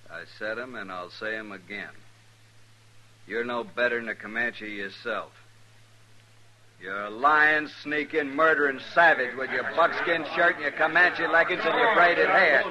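A man's voice: medium pitch, unhurried, pushed and bright. An American actor playing a bitter, vengeful frontier hothead in a 1950s radio western, biting and accusing in his delivery. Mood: accusing, furious. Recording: dull old radio recording.

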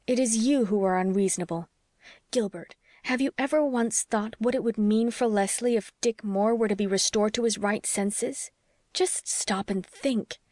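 A woman's voice: medium pitch, normal speed, a little mellow, no echo, studio quality, voice pushed up, lightly acted. A woman voicing a passionate, protective young wife who argues in an emphatic, pleading way, as in an audiobook. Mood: indignant protest. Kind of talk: audiobook character dialogue.

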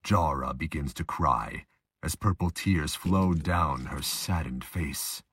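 A man's voice: a bass voice, slow pace, a bit bright, a little hiss or hum. A man reading scripted dramatic narration for a fan-made audio drama.

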